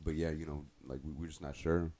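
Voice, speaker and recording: A man's voice: extremely low pitch, flat delivery, brisk, over a noisy crowd. A young man, co-hosting a podcast in casual chat, slangy and hesitant with many restarts. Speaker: podcast co-host.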